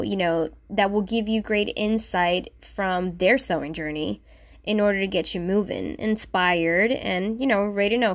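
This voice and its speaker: A woman from Puerto Rico, a native Spanish speaker with a slight Puerto Rican accent, chatting casually and self-deprecatingly to listeners as a solo podcast host. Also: mid-range voice.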